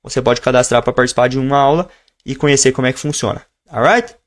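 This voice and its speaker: A man teaching a live online lesson, explaining at a relaxed pace and stretching out example phrases slowly so learners can follow. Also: medium pitch. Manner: switches between teaching tone and slow, drawn-out modeled phrases. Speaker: English teacher.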